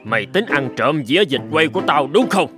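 A man's voice: fairly high pitch, animated, fairly quick, over music, close to an everyday voice. Man voicing a sneering cartoon village bully. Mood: angry, sneering. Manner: sneering, scolding. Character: scornful, bullying rich village man, middle-aged, hot-tempered. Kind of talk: animated folk-tale cartoon dub.

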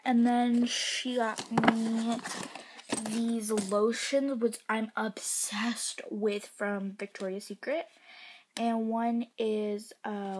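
A young woman vlogging casually from her bedroom, rambling and self-mocking, often dropping to a whisper, a little congested, filmed on a phone held at arm's length. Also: fairly high pitch. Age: young adult. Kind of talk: casual vlog chat. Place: bedroom, indoors. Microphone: phone camera at arm's length.

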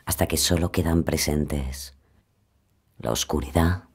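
An actor playing a sinister, haunting inner voice, eerie and insinuating, in a poetic gothic audio drama. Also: male voice, extremely low pitch, measured pace, husky, heavily acted. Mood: menacing, whispered. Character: sinister, ominous male inner voice haunting the story.

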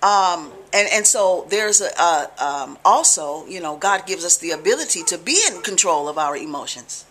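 A middle-aged American woman giving an informal religious talk to camera, conversational and deliberate, with emphatic check-ins to the listener. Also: fairly low pitch, lively intonation, a bit bright, slight background noise. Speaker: religious video blogger. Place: small living room, indoors on a couch.

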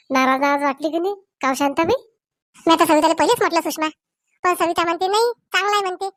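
A woman's voice: high-pitched, dry recording, lightly acted. A woman voicing chatty, meddling village women in a Marathi comedy cartoon, with a rural Varhadi accent and colloquial, exclamatory talk. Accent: rural Maharashtra, Varhadi Marathi.

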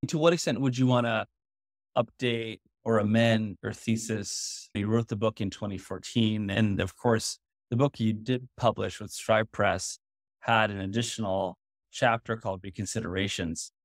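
A man's voice: on the low side, fairly even intonation, normal speed, dry recording, clean studio sound. A man hosting a podcast interview, putting considered questions in a precise, polite way. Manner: precise, politely checking his understanding.

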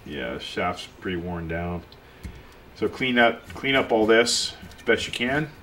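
A man's voice: fairly low pitch, expressive, a little slow, bright, forceful tone. A man talking a viewer through a repair at his workbench in a casual, plain way. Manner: plain, unpolished explaining while working, talking to the viewer. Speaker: pool and spa repair technician. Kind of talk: casual hands-on repair tutorial. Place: workshop bench. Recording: tool clicks and workshop noise.

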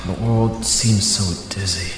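An actor playing a weary, injured young male superhero held captive, narrating a halting inner monologue in an audio drama. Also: fairly low pitch, fairly even intonation, very noisy, close to an everyday voice. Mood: exhausted, anxious. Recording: echoing room with music underneath. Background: music.

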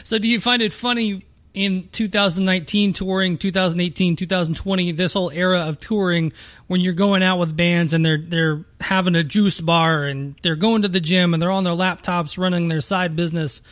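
A man in his late thirties hosts a podcast, chatty and easygoing as he rambles through his questions. His voice sits fairly high, and the recording is dry and close. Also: steady tone, fairly quick, fairly bright tone, no room sound. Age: 30s. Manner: chatty, laughs along, long rambling questions. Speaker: podcast host. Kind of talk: casual interview talk.

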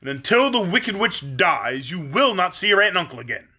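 A man voicing a great stern wizard, a giant head, in a storybook, with a commanding, emphatic manner and drawn-out words. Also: sits high, very animated, pushed and bright, husky, voice pushed up, exaggerated voice. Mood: stern, demanding. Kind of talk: storybook character reading.

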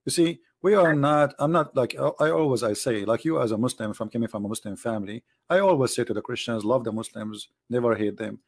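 A man's voice: mid-range voice, fast talker, few pauses. A man from the Middle East, a native Arabic speaker, hosting an online chat and talking emphatically in broken English. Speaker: host. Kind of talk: casual debate chat.

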